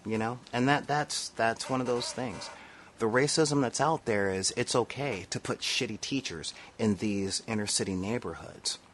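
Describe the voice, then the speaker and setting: A man's voice: mid-range voice, normal speed, a bit bright, slight background noise. A man from the United States with a nasal voice, delivering an impassioned monologue to camera, emphatic and full of rhetorical asides. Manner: emphatic, rhetorical asides, frequent "all right?". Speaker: vlogger.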